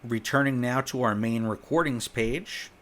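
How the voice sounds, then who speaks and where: A man narrating an instructional software walkthrough in a conversational way, guiding the listener step by step. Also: mid-range voice, unhurried. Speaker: software demo presenter.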